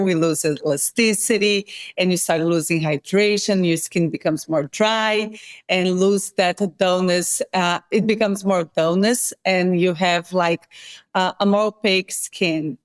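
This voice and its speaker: A woman from Brazil with a Brazilian accent, a scientist guest explaining things in a measured way, sometimes correcting herself mid-sentence. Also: on the low side, fairly even intonation, dry recording, clean studio sound. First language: Portuguese. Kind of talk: interview explanation.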